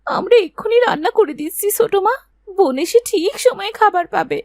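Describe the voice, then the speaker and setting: A woman's voice: very high voice, expressive, fairly quick, creaky, darkish tone, dry recording, studio quality, exaggerated voice. A woman voicing a timid little orphan girl in a cartoon, plaintive and childlike in her pleading. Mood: meek. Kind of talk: Bengali cartoon voice acting.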